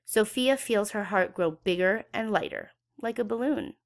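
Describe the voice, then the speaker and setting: A woman's voice: medium pitch, lively intonation, moderate pace, creaky. A woman reading a children's story aloud expressively, with dramatic emphasis for young listeners. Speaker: children's book read-aloud educator.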